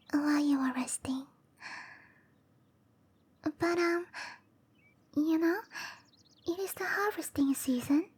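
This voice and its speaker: Lady doing a shy cherry blossom spirit girl, high breathy whisper, really slow n hesitant, soft asmr roleplay. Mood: sheepish, apologetic.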